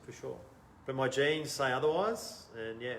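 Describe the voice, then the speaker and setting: An Australian man in his thirties tells his own story as an interview guest. He talks in an animated way, laughs often and lets sentences trail off quietly. The room is echoey, with a faint hum behind him. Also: mid-range voice, normal speed. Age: 30s. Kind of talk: casual storytelling conversation.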